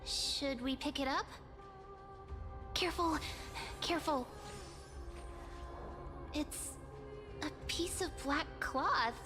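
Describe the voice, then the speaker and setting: A woman voicing a sweet, timid and earnest girl adventurer in an anime-style game, speaking hesitantly, with a soft-hearted manner. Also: high-pitched, takes it slowly, long pauses, over music. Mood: cautious, curious.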